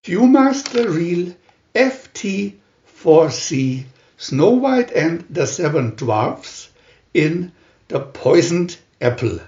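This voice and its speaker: Slow, expressive male storybook reader. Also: on the high side. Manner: measured, deliberate, pausing between captions. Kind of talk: scripted storybook narration, reading picture-reel captions. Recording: roomy, dull lo-fi sound.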